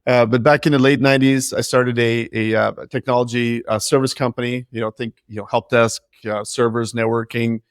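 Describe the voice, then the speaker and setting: A man's voice: medium pitch, little pitch movement, a little fast, hardly any pauses, clean tone, no echo. Man, forties, from Norway, candid, self-deprecating, conversational, dry recording. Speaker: guest, business founder. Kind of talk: casual interview.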